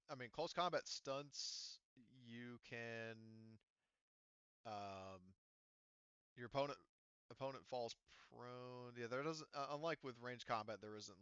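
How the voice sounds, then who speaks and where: A man's voice: medium pitch, pushed and bright, smooth, no echo, muffled recording. A man talking casually as game master, explaining tabletop game rules in a hesitant way, thinking aloud.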